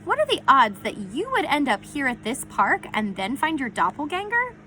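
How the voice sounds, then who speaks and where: A woman voicing an excitable, quirky young boy in a storybook reading. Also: high voice, moderate pace, slight background noise, voice pushed up, heavily acted. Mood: curious.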